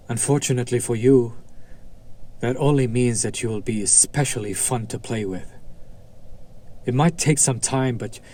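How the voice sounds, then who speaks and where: A man playing a smug, seductive demon in a roleplay audio drama, coaxing and condescending with deliberate pauses and a teasing menace. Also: medium pitch, darkish tone, slight background noise, lightly acted. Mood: smug, menacing.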